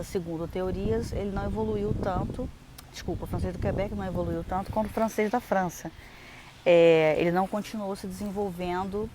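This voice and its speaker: A young woman from Brazil talks casually to her viewers, explaining things at length with fillers and small restarts. Her voice sits on the lower side. Steady background noise runs under the recording. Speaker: vlogger. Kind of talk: casual advice vlog. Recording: noisy outdoor recording.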